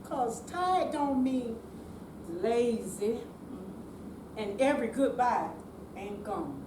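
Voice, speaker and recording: An older woman giving a slow, deliberate, theatrical dramatic reading on stage. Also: on the high side, animated. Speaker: amateur readers theater performer. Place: senior center room, live reading. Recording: echoey room, distant mic, clear noise.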